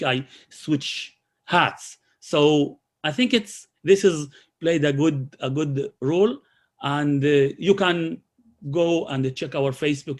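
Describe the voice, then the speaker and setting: A man talks about his community work over a video call. His voice sits a little high, and he speaks haltingly, full of fillers and restarts. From Israel. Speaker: community program coordinator.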